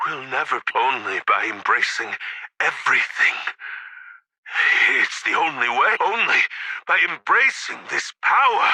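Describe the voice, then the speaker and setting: An actor playing a wounded soldier in a video game, recording last words with halting, breathy, dramatic delivery and a raspy, strained voice. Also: male voice, fairly high pitch, sharp tone, no room sound, heavily acted, poor audio. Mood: dazed awe, then ecstatic pleading.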